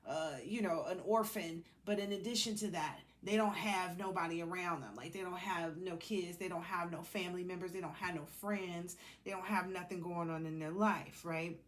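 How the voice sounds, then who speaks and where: A woman's voice: lowish voice, normal speed, hardly any pauses. A woman giving a casual, intuitive tarot reading, speaking informally and bluntly as she drifts between asides. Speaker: tarot reader.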